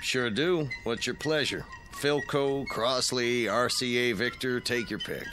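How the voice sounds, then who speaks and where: An actor playing a brusque secondhand radio dealer haggling over prices in a vintage radio drama. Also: male voice, mid-range voice, measured pace, over music, natural voice. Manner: brusque, bargaining.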